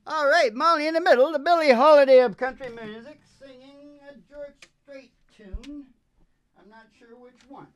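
A woman's voice: sits high, a little slow. A woman hosting a live music show with casual, joking banter between songs at a stage microphone. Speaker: show host introducing performers. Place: small indoor live venue.

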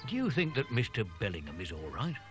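A man's voice: on the low side, wildly swooping pitch, quick pace, faint music behind, voice pushed up. A male narrator voicing an old male college servant who is worried, deferential, and speaks with working-class grammar. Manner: working-class speech, humble, says sir often.

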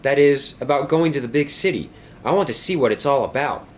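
A man's voice: medium pitch, animated, fairly quick, slight background noise, pitched above the performer's own voice, natural voice. A man voicing a cheerful, friendly male engine who talks in an upbeat way.

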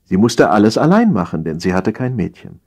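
Low husky male audiobook reader. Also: big pitch swings, brisk, a little mellow. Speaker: audiobook narrator. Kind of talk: dramatic reading of satirical stories.

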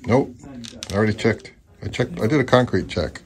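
A man's voice: lowish voice, fairly quick. A man hosting an audio gear vlog, talking in a casual, informal way. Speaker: host of an audio repair vlog. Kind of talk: casual chat and informal video narration.

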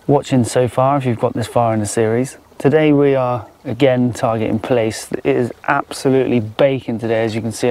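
A man's voice: mid-range voice, darkish tone. A man presenting his fishing trip in casual, unscripted talk to camera, outdoors by the water. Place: outdoors by a fjord shore.

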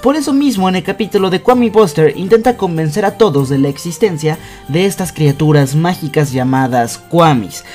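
A man's voice: fairly high pitch, expressive, normal speed, darkish tone. A young man with a Mexican accent presenting scripted fan commentary as a video host, in an emphatic, enthusiastic way. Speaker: YouTube host. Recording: voice-over with music behind.

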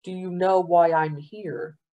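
A woman puts on a grave male governor for a storybook. The voice is smooth and mellow, weighing each phrase with pompous, condescending authority. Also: fairly even intonation. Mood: chiding. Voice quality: deep, smooth. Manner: measured, pompous.

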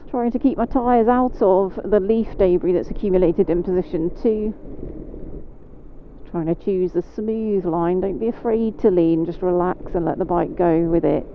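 A British woman gives calm, measured commentary while riding a motorcycle through the rain, her voice slightly low. It comes through a thin, phone-like helmet mic with road and engine noise underneath. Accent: British English. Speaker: motorcycle instructor. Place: on a motorcycle on wet roads in rain.

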